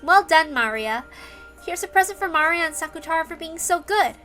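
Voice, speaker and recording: Woman acting a high-voiced, fond young mother. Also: animated, brisk, bright, forceful tone, soft background music, full character voice. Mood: fond, pleased. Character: tired mother, surprised and fondly indulgent to her child.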